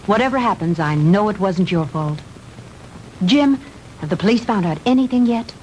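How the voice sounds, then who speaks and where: Polite, earnest actress as 1960s movie heroine. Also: female voice, mid-range voice, expressive, normal speed, a little mellow, natural voice. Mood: gentle concern. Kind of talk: 1960s B-movie film acting. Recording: noisy old 1960s film soundtrack.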